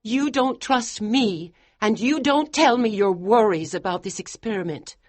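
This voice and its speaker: A devoted, resolute young wife, as a male narrator puts her on. She pleads in a raised, higher voice, and the recording is dry and close. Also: expressive, a little slow, no room sound. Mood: pleading.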